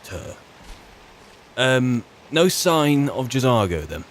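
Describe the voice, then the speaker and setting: A man with a British accent roleplaying a weary, chatty wizard's servant turned adventurer, musing in a wry, halting, rambling way. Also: mid-range voice, big pitch swings. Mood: wry grumbling. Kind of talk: in-character game roleplay monologue.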